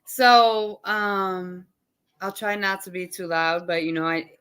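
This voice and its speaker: Woman podcast host, naturally loud and animated, bright sharp tone, chatty and laughing. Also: mid-range voice, takes it slowly, clean tone. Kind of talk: casual chat. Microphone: live stream setup.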